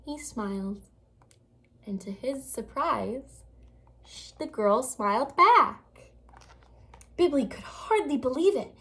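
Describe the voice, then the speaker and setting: A woman reading a children's picture book aloud with expressive, dramatic storytelling phrasing. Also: high voice, slow, long pauses.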